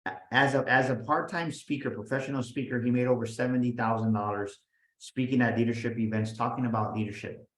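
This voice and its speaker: A man talking as a guest business coach, energetic and emphatic, often restarting and repeating his words. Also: mid-range voice, quick pace. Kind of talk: motivational interview talk. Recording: roomy echo.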